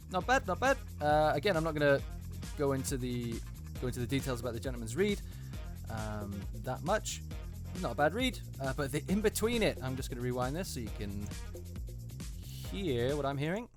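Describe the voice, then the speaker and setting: A man hosts with loose, unscripted commentary over clearly audible music. His voice sits at a middling pitch, and he speaks haltingly, circling back to repeat points for emphasis. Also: expressive. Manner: hesitant, repeats himself for emphasis. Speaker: host, voice actor reviewing auditions.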